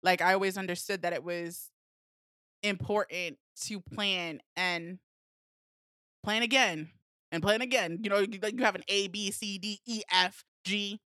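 A woman's voice: medium pitch, steady tone, unhurried, bright, forceful tone, dry recording, studio quality. A young American woman from a Peruvian family, chatting reflectively as a podcast guest with plenty of fillers. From United States, Peruvian family. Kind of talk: casual podcast chat.

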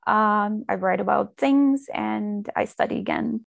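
This is a woman from Russia, speaking English as a guest in an interview. She talks in a relaxed, easy way, with fillers and light laughs. Her voice is gravelly with vocal fry, and the recording is dry and close. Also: mid-range voice, a little slow, no room sound. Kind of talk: casual interview chat.

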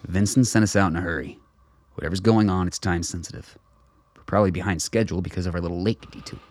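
Man acting a gruff, low-voiced old father. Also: steady tone, fast, darkish tone, no echo, lightly acted. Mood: grim. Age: older. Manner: terse, profane, guarded. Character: gruff, suspicious old father on a covert mission. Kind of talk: radio play acting.

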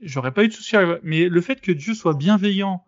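A man on his own podcast talks through a debate in a loose, casual way. He hesitates a lot and restarts his sentences. His voice sits a little high and sounds dry and dull. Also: brisk, no room sound. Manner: hesitant, many fillers and restarts. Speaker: podcast host.

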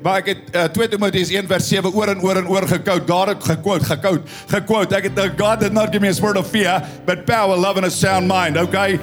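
A man's voice: high-pitched, steady tone, fairly quick, few pauses, faint music behind. A South African man in his fifties, a pastor preaching an emphatic, story-driven sermon with a tired voice. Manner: emphatic, storytelling, swelling to loud proclamation. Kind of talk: impassioned sermon mixing English and Afrikaans. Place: church.

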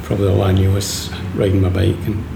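Gravelly man interviewing. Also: sits low, fast talker. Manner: relaxed, chatty, rambling questions. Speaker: host, interviewer. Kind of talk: casual interview chat. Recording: echoey room, faint background noise.

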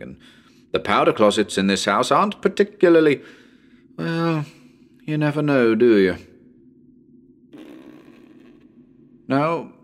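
A man playing a radio broadcaster unravelling into madness in a haunted house, halting and muttering, then breaking into exclamations. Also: mid-range voice, gravelly. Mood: uneasy, chatty. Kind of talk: dramatic reading of a live radio broadcast.

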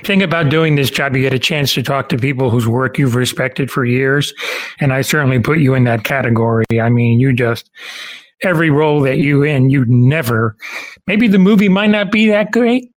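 A man's voice: mid-range voice, normal speed. A man hosting an interview in a casual, agreeable and complimentary conversational style. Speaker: podcast interviewer, host.

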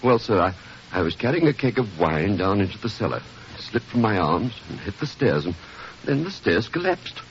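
Scared servile butler, lowish voice with big swoops, pleading and stammering, old radio play. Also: male voice, big pitch swings, normal speed. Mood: terrified. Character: frightened, deferential male butler in terror of his life. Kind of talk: old radio mystery drama acting. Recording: muffled, noisy old radio recording.

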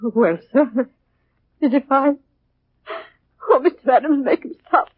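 Nervy devoted housekeeper, really high pitched, 1940s radio, tinny muffled sound. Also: female voice, a little slow, slightly rough. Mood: distressed. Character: devoted, fretful female housekeeper, deferential, easily upset. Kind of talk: 1940s radio thriller acting.

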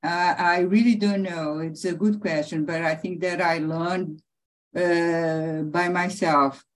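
Slow, halting middle-aged woman professor. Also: fairly low pitch. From Brazil. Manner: halting, many restarts and fillers, laughs often. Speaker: interviewee, professor. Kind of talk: conversational interview.

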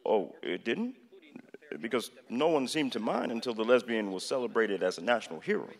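An actor in a radio play voicing an earnest, middle-aged American president who speaks deliberately, with hesitations and a lecturing manner. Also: male voice, medium pitch, lively intonation, natural voice. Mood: pointed. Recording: long hall reverb.

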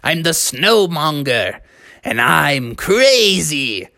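A crazy living snowman from a children's storybook, acted by a man. The voice is boastful and gleefully mischievous, leaping up and down in pitch. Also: big pitch swings, extremely slow, creaky, pushed and bright, no echo, studio quality, heavily acted.

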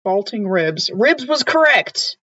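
A woman's voice: mid-range voice, very animated, takes it slowly, a bit bright. A woman playing a tabletop game, chatting casually in and out of her role, on a slightly muffled recording.

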